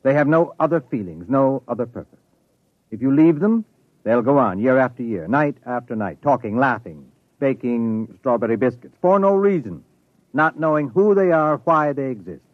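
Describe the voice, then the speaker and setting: A humane, reluctant ship's doctor played by a man, with a medium-pitched voice and sober, halting delivery. It is vintage radio drama, dry and tinny like a phone line. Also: lively intonation, no echo, lightly acted. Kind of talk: 1950s radio drama acting.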